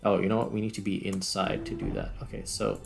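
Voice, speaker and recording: A man with a fairly low voice and a noticeable creak gives casual commentary over a video game. He thinks out loud in short, informal bursts as he works through each step, with music playing behind him. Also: big pitch swings, quick pace. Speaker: gaming video host.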